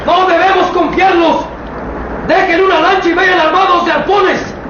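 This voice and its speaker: A ruthless male villain barks frantic commands in a piercing, very high voice. He is distant and echoey amid crowd noise. The sound is dull and old-fashioned, like a vintage radio play. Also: little pitch movement, normal speed. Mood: commanding, shouting. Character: ruthless male ship boss shouting orders. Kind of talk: 1960s radio drama acting.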